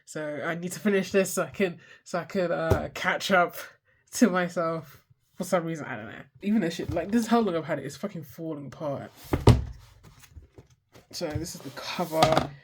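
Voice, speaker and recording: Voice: mid-range voice, normal speed, slight background noise. A person in their twenties with a stuffy, congested voice, chatting casually and rambling through a sketchbook tour, swearing freely and poking fun at themselves. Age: 20s. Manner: swears freely, self-deprecating, loses track mid-sentence. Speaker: art vlogger.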